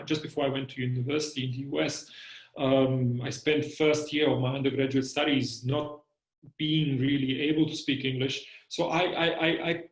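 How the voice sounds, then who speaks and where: Male lecturer from Bosnia, Bosnian accent, mid pitch, halting and searching for words, recorded in an echoey room. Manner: hesitant, many fillers and repeated words. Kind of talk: reflective interview talk.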